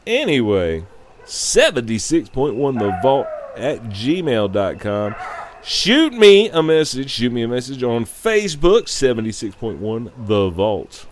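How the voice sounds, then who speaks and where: A man of average pitch hosts a radio-style show in an irreverent, sarcastic way. His delivery swings widely in pitch and takes its time, with light noise behind him. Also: big pitch swings, a little slow. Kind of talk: casual opinionated rant.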